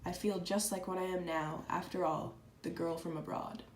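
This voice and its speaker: A woman playing an earnest, proud and candid letter writer in a dramatized reading, speaking reflectively and deliberately. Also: on the low side, fairly even intonation, close to an everyday voice. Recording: echoey room with clear background noise.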